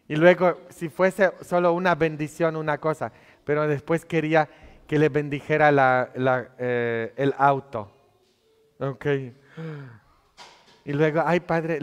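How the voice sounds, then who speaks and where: A man's voice: on the high side, smooth. A priest in his thirties, not a native Spanish speaker, preaching a conversational homily full of tag questions from the altar of a church. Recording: long church reverb.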